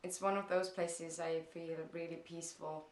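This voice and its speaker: A woman's voice: fairly low pitch, flat delivery, roomy sound. A young woman from Estonia with an Estonian accent, talking casually through viewer questions in her first vlog, with many hesitant fillers. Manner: hesitant, many "um"s, slow and thoughtful. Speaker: vlogger. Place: parents' house, indoors.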